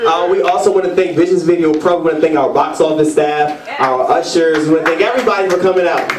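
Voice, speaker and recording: A man's voice: on the high side, quick pace. A man giving an encouraging curtain-call speech as director to a live theater audience, prompting them to respond. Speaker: stage play director addressing audience. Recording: echoey hall, distant mic, audience noise. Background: audience crowd.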